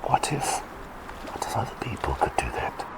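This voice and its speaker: A man whispering as a gentle talking bird doctor. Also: natural voice, rough recording. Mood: rambling. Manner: rambling, halting storytelling; soft, soothing and reassuring. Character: kindly talking bird plague doctor, male, quirky and gentle. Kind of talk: whispered ASMR roleplay.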